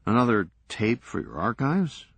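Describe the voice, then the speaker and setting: A man voicing a laid-back adult male friend who speaks flatly and unhurried. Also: fairly low pitch, husky, dry recording, exaggerated voice.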